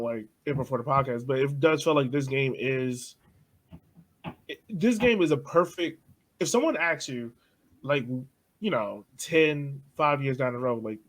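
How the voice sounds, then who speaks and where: A young man co-hosting a casual sports podcast, talking loosely with frequent laughs and soft trailing asides. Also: mid-range voice. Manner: loose and chatty, laughs often, trails off softly. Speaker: podcast co-host.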